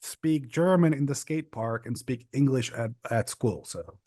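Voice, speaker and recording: Casting: young guy, chatty and rambling, mid-pitched voice, dry close sound. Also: measured pace, darkish tone, no echo. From Czech Republic. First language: Czech. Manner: rambling, restarts and stacks of fillers. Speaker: podcast guest. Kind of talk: casual interview chat.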